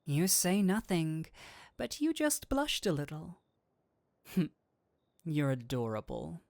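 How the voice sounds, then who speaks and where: A man's voice: on the high side, huge pitch swings, slow, a little mellow, no room sound, exaggerated voice. A man playing a flirtatious, nerdy incubus demon in an intimate audio roleplay, teasing and coaxing but kindly. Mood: playful, teasing. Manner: coaxing, playful, theatrical switches between seductive and flustered.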